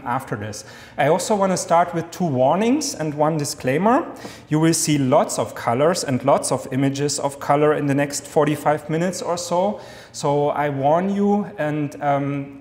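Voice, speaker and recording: A man in his thirties with a German accent gives an enthusiastic academic lecture from a podium, talking fast with many fillers and restarts. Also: fairly high pitch. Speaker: guest lecturer. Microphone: podium mic. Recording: echoey lecture hall, long reverb.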